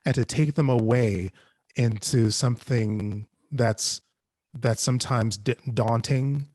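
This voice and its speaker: A man speaking reflectively as a writer on a discussion panel, haltingly repeating phrases as he thinks. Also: mid-range voice, a little mellow, dry recording. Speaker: panelist, audio drama writer.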